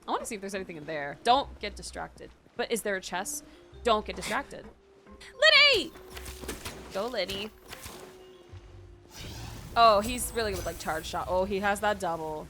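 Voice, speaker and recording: A young American woman from Florida chatting casually as she streams a game, bubbly, expressive and rambling. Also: mid-range voice, moderate pace. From Florida, United States. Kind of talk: casual reaction chat while gaming. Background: soft game music.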